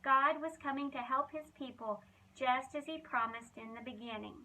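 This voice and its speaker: A woman with a high, bright voice reads a storybook aloud for children. She tells it expressively, leaning on key phrases with dramatic emphasis, like a children's ministry reader. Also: moderate pace, low-quality recording.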